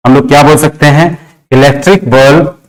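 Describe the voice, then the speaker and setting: A man's voice: a little high, fairly even intonation, normal speed, poor audio. A man with a Bihari Indian accent teaching a grammar lesson step by step, repeating phrases, dictating word by word and often checking in with short questions. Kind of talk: classroom lesson explained step by step.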